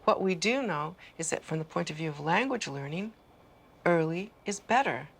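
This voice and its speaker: Gravelly-voiced woman scientist explaining. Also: fairly low pitch, lively intonation, normal speed, a little hiss or hum. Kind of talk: explanatory interview.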